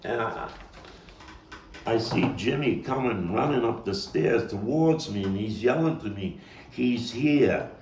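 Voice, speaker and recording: A man performing a dramatic monologue as a Brooklyn man recalling a violent boyhood, halting and deliberate, with repeats and weighty pauses. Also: mid-range voice, animated, a little mellow, lightly acted. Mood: tense, urgent. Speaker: actor and playwright reading his own monologue. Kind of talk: dramatic monologue at a table read. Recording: roomy, distant mic with faint background noise.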